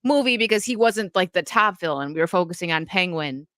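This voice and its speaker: A woman's voice: fairly low pitch, lively intonation, brisk, fairly bright tone, no echo, studio quality. A woman from upstate New York with an upstate New York accent, hosting a casual livestream chat, giggly and chatty. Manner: giggly, chatty, laughs often. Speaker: livestream host.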